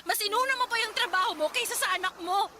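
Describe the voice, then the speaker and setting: A woman's voice: very high-pitched, fast, very noisy. A woman playing a distraught, snappish young wife and mother in a Tagalog radio drama, blunt and exasperated. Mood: defensive, upset. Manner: exasperated, blunt, sharp-tongued. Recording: music behind the voice.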